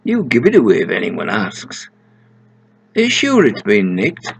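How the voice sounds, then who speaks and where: A man's voice: mid-range voice, wildly swooping pitch, a little slow, vocal fry, breathy edge, exaggerated voice. An older man voicing a reasonable, mildly dry official organiser in a read-aloud story. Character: measured, official male organiser.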